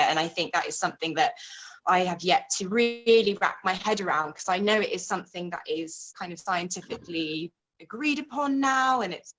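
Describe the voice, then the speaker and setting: A woman's voice: medium pitch, fairly bright tone, low-quality recording. A woman hosting a casual interview, talking in an informal, reflective way as she explains ideas. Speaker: host.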